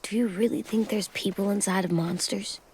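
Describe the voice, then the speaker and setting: A woman voicing a wary, stubborn little boy in a zombie video game drama, speaking in a blunt, halting, childlike way. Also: medium pitch, fairly even intonation, a little mellow, slight background noise, natural voice. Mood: doubtful, sombre.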